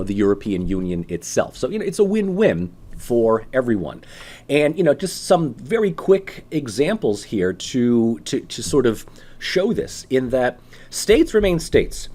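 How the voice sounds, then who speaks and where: A man giving a political science lecture in a conversational way, full of fillers and rhetorical questions. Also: medium pitch. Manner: animated, acts out voices of ordinary people. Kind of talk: recorded university lecture.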